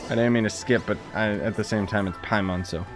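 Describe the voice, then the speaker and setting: A man's voice: lowish voice, steady tone, a little fast, over music. A man gives casual, blunt, grumbling commentary as a gaming streamer playing through a game.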